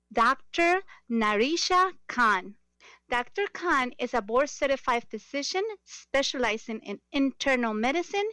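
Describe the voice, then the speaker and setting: Higher-pitched woman hosting, close dry sound. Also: a bit bright, no room sound. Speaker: talk show host. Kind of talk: radio interview hosting.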